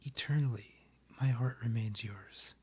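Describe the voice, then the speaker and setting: A man acting a breathy, lovestruck vampire king. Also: medium pitch, mellow. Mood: courtly, persuasive. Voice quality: breathy, half-whispered. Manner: formal, courtly phrasing, slow pleading delivery. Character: lovestruck male vampire king, courtly, yearning, tender suitor. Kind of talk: romantic ASMR audio roleplay.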